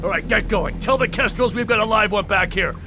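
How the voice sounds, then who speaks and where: A brash, aggressive henchman creature in a video game. His high, gravelly bark swings widely in pitch. Loud music plays behind. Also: fairly quick. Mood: barking orders. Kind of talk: video game enemy acting.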